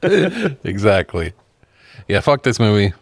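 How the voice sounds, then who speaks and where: Male co-host, very deep voice, dry studio-tight sound, laid-back, casual movie talk with swearing. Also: sing-song, takes it slowly, dry recording. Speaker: podcast co-host reviewing films. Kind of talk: casual film chat with swearing.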